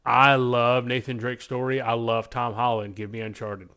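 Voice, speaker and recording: A man with a bright, forceful voice at a medium pitch, co-hosting a casual podcast chat. He talks informally, with slangy phrasing and emphatic choices. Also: level tone, normal speed, smooth. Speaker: podcast co-host. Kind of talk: casual chat, picking movies in a bracket game.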